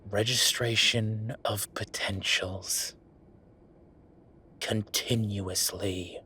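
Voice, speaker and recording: An exasperated werewolf boyfriend played by a man in an audio roleplay, with a low-pitched, tense voice. He vents bitterly, swearing and sarcastic about his job. There is clear background noise behind him. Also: fairly even intonation, very slow, sharp tone, exaggerated voice. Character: angry, exasperated male werewolf boyfriend, bitter office worker. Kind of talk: dramatic boyfriend roleplay monologue.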